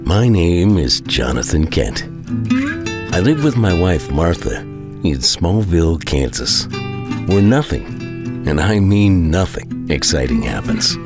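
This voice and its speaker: A low, expressive small-town dad tells his story in an audio drama. He is plainspoken, wry and a bit apprehensive, conversational over prominent music. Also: heavily acted. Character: plainspoken, apprehensive Kansas farm-town husband and father. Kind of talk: first-person audio drama narration and dialogue.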